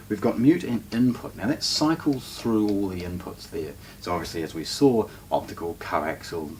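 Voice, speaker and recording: A man's voice: fairly low pitch, darkish tone. A man giving a casual, unscripted product walkthrough as a shop presenter, hesitating and rephrasing as he goes. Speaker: shop presenter demonstrating audio gear. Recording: distant mic with faint background noise.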